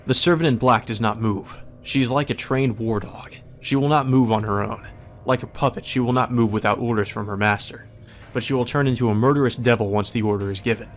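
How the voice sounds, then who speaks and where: A man's voice: mid-range voice, fairly quick, soft background music. Man narrating a visual novel. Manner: first-person storytelling delivery. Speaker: let's play narrator.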